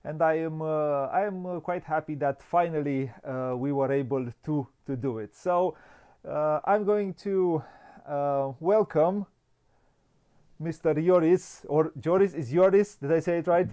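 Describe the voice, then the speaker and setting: A man's voice: on the high side, unhurried, warm tone, smooth. Hesitant man hosting an interview. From Romania. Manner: hesitant, many fillers and restarts. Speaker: interview host. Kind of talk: casual video interview.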